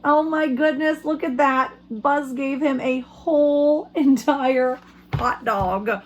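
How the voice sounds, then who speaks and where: A woman speaking as an elementary school teacher, reading aloud to children in simple, expressive language and putting questions to them. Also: sits high, takes it slowly, highs cut off, a little hiss or hum.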